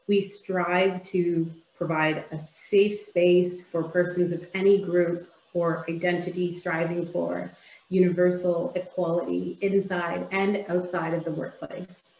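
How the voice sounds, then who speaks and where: A woman's voice: lowish voice, darkish tone. Woman, Canadian accent, keynote speaker, polished upbeat delivery, video call, roomy echo. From Ontario, Canada. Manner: polished, upbeat presenting with occasional fillers. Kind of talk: presentation over a video call.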